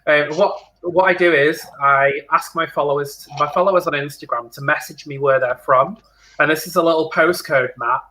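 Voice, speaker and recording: A man's voice: on the high side, moderate pace, pushed and bright, low-quality recording. A man appearing as a guest on a drag livestream, chatting in a bubbly, friendly way. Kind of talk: casual livestream chat.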